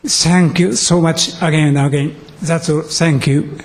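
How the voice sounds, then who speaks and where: A man from Japan with a Japanese accent, giving a halting, formal and earnest speech in non-native English with frequent pauses. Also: on the high side, fairly even intonation, slight background noise. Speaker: visiting student delegation leader. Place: council meeting room, public address.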